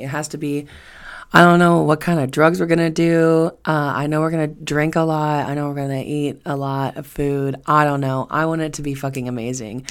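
A woman in her twenties chatting casually on a solo podcast, rambling and swearing freely with emphatic repetition. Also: low-pitched. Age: 20s. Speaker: podcast host.

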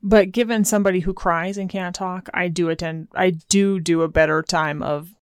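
A woman speaking as a mother in casual chat, calm and measured, teasing gently and laughing softly. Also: lowish voice, clear, resonant tone, no echo, clean studio sound. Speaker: mother, co-host.

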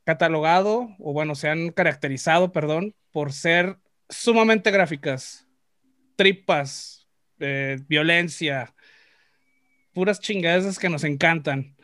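Animated Mexican man, dry close sound. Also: on the high side, takes it slowly, dry recording. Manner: animated, slangy questioning. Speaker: interviewer. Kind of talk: casual interview.

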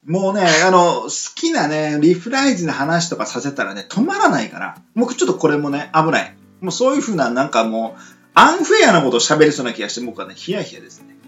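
A man hosting a casual radio chat in a teasing, animated way. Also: a little high, a little fast. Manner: animated, teasing, frequent backchannels. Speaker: radio host. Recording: muffled lo-fi audio with music underneath.

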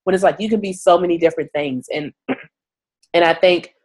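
A woman journalist being interviewed, talking casually with lots of fillers, stuffy from a cold and often clearing her throat. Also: on the low side, normal speed, a little mellow. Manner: chatty, many "like" and "you know" fillers. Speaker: journalist guest.

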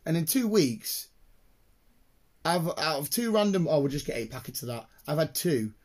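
A British man chatting casually in a rambling, excitable, sweary way, with a slightly croaky voice. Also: on the high side, normal speed. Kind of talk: casual chat, rambling anecdotes.

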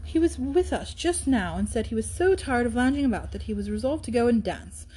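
A woman's voice: fairly high pitch, lively intonation, fairly quick, mellow, breathy edge, poor audio. Woman playing a placid, genteel older chaperone. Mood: mild.

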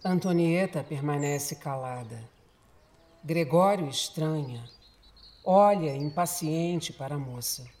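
A narrator delivering scripted dramatic narration for a horror radio drama in a measured, deliberate storytelling manner. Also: mid-range voice, darkish tone.

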